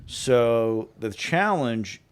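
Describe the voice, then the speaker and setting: A man's voice: medium pitch, lively intonation, drawn-out words, smooth. A man co-hosting a podcast, trading playful teasing banter in casual chat. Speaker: podcast co-host.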